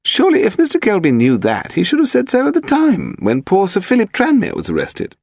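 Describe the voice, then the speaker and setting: A man's voice: sits high, wildly swooping pitch, brisk, creaky, a little mellow, no room sound, studio quality, voice pushed up, full character voice. A man voicing a haughty, pedantic English aristocrat, a frail lady in her eighties, in an upper-class accent for an audiobook story. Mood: indignant, rambling. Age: 80s. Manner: pedantic, grand, derisive, demanding. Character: imperious frail aristocratic old lady of eighty-five, malicious.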